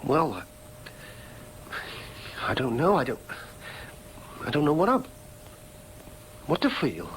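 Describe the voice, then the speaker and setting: A man's voice: a little high, wildly swooping pitch, takes it slowly, long pauses, a little hiss or hum, heavily acted, rough recording. An actor playing an earnest, bewildered young working-class man, hesitant and stammering, in a radio drama. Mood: stunned, bewildered. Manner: hesitant, stammering, plain-spoken.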